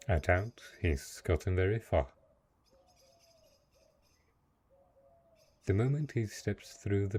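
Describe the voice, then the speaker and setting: A man playing a brooding, possessive and lonely dark knight in a fantasy roleplay audio drama, speaking in a measured, deliberate way. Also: sits low. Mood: cold, dismissive. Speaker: voice actor.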